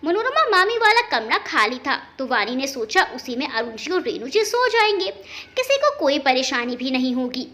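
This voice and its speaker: Female storyteller for family drama narration; high-pitched, bright, expressive.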